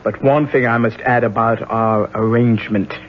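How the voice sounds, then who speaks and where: A man's voice: medium pitch, steady tone, moderate pace, a little hiss or hum, lightly acted. Actor as a mysterious, commanding male healer. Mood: ominous. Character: mysterious, controlled male healer dealing in talismans. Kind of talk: 1970s radio drama acting. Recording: dull muffled vintage radio broadcast.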